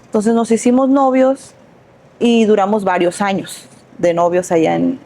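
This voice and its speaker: Mellow-voiced woman. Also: mid-range voice, steady tone, normal speed. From Sinaloa, Mexico. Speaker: guest, entrepreneur. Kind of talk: casual interview storytelling.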